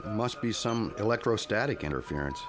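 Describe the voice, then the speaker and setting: An actor playing an alert flight control aide in a radio drama, giving brief, clipped reports. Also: male voice, fairly low pitch, expressive, fairly quick, over music, natural voice. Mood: businesslike.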